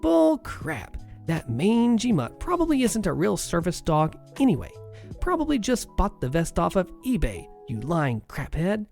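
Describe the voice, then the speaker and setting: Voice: wildly swooping pitch, unhurried, vocal fry, a little mellow, faint music behind, full character voice. A storyteller voicing a rude, entitled middle-aged woman patient who screeches and sneers. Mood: scornful. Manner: shrill, screeching and scornful.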